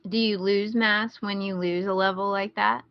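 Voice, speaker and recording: A woman's voice: medium pitch, fairly even intonation, moderate pace, no room sound, lo-fi sound. A woman chatting casually as a podcast co-host, asking questions and musing thoughtfully.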